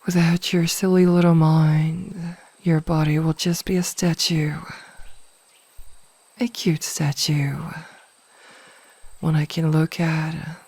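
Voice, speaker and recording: Woman playing a slow, breathy, possessive witch. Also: low-pitched, darkish tone, dry recording, heavily acted. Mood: smug, possessive. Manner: slow, coaxing, condescendingly sweet, often dropping into whispers. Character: possessive, smugly teasing adult female mage toying with a captive burglar. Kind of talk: ASMR fantasy roleplay, intimate and hypnotic. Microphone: close microphone, dry.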